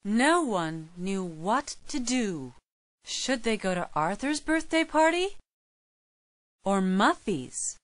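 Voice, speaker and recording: Female narrator for a children's story, measured and slow with animated intonation. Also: medium pitch, no room sound.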